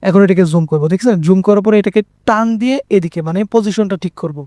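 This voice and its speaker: A man with a Bangladeshi accent presenting an informal software tutorial, repeating phrases and checking in with listeners. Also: high-pitched, quick pace, no room sound, clean studio sound.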